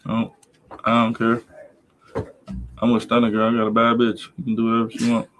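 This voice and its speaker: A man's voice: medium pitch, monotone, a little fast, a bit bright, a little hiss or hum, poor audio. A man talking as a guest on a livestream, stammering and slangy in casual chat.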